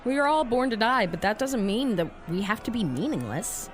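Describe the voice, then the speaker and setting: A woman's voice: fairly high pitch, lively intonation, brisk, soft background music, natural voice. A woman playing a resolute, persuasive resistance leader in a post-apocalyptic audio drama, composed and commanding. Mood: rousing conviction.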